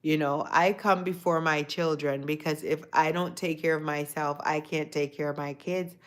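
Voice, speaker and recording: A woman's voice: low-pitched, steady tone, moderate pace. A woman of Jamaican descent hosting a casual livestream, talking reflectively to her viewers and greeting them by name. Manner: reflective, talks to chat viewers by name, repeats phrases. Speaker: livestream host. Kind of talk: casual live chat with viewers.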